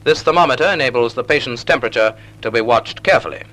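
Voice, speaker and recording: A man narrating a 1940s naval instructional film in a measured, didactic, precisely enunciated manner on a period film soundtrack. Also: medium pitch, fairly bright tone.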